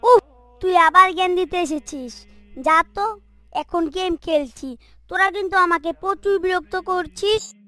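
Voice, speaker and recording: A woman's voice: very high-pitched, measured pace, pauses often, fairly bright tone, dry recording. A woman voicing a rude, impatient young boy who talks back, in a moral cartoon. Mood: irritated, dismissive. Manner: irritated, curt, talking back. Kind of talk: Islamic moral cartoon dialogue.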